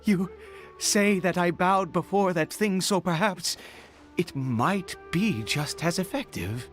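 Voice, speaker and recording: A man playing a desperate, fervent father in a game, speaking in a halting, emotional way. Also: high-pitched, soft background music. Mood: anxious hope. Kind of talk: dramatic game voice acting.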